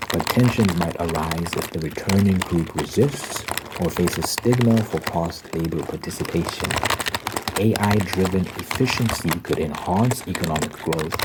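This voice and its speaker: Very deep-voiced man, noisy ASMR ramble. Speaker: host of a rambling ASMR channel. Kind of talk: ASMR ramble, reading aloud and commenting. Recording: noisy, with clicking, typing and crinkling sounds.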